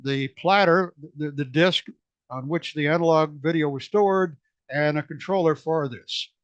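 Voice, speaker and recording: A hoarse man presents technical slides to a museum society, stumbling through fillers and restarts as he explains. His voice sits a little high, and the recording is dry and somewhat dull, with no room echo. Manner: halting, many fillers and restarts. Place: meeting room.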